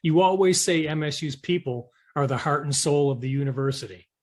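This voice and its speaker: A man's voice: fairly high pitch, fairly quick. A man hosting an interview show, reading prepared introductions and questions in a radio style.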